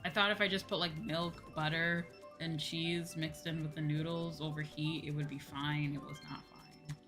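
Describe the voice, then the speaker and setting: A woman chatting casually as a livestream host while gaming, expressive and free with swearing. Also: low voice, normal speed. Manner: expressive, swears freely, mock-grumbling asides. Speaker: livestream host, virtual streamer. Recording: game music behind the voice. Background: video game music.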